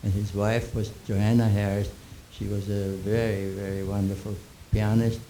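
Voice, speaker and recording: A man in his nineties, born in Austria with German as his first language, telling old stories in a halting, chuckling way with many restarts. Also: fairly low pitch, level tone, mellow, slight background noise, poor audio. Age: 90s. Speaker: interviewee. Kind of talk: reminiscing Q&A.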